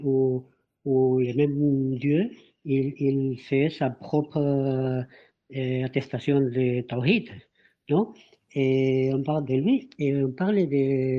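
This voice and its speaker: Halting man on a call. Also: mid-range voice. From Spain. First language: not French. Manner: halting, repeats words while searching for French. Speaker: caller. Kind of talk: asking a question in an online call. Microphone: online call microphone. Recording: dull lo-fi call audio.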